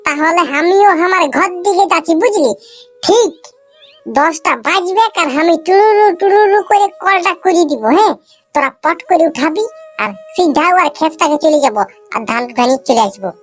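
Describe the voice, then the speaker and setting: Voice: very high-pitched, moderate pace, faint music behind. A performer with a Purulia Bengali accent voicing a brash, scheming village boy in a comedy cartoon, boastful and whining in rural dialect. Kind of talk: exaggerated comedy cartoon dialogue.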